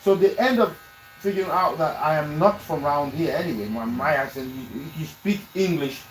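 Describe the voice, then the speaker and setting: A man chatting casually in a barbershop, telling lively stories and repeating words when he gets excited. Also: on the high side, moderate pace, slight background noise, poor audio. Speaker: barbershop podcast guest. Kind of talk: casual chat.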